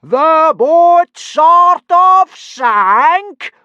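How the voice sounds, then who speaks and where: A man voicing an enraged, bellowing Viking pirate instructor in an audiobook, booming and scornful. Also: animated, drawn-out words, sharp tone, no room sound, lo-fi sound, heavily acted. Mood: furious.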